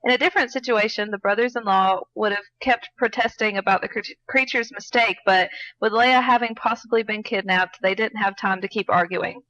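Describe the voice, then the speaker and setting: Bright-voiced woman reading fan fiction. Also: medium pitch, steady tone, a little fast, lo-fi sound. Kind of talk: story narration and casual banter.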